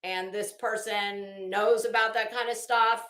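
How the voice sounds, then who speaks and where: A woman's voice: medium pitch, fairly even intonation, unhurried, fairly bright tone. Emphatic woman in a roomy, echoey space. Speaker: online commentary host. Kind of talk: casual opinionated commentary, rambling. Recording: roomy, echoing room sound.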